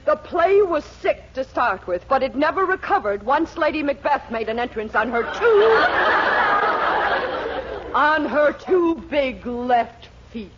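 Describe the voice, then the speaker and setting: A woman's voice: high voice, animated, measured pace. An actress playing an indignant, domineering middle-aged mother, scolding theatrically in a 1940s radio comedy on a muffled, noisy recording.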